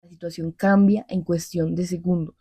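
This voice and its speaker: A woman playing a grave war correspondent in a scripted radio drama, reporting solemnly and in measured phrases. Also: fairly low pitch, level tone, background noise, lightly acted. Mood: urgent. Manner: solemn, measured, descriptive delivery.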